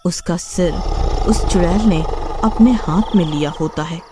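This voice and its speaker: A woman's voice: fairly low pitch, a little slow, background noise. A woman narrator reading a scripted horror story.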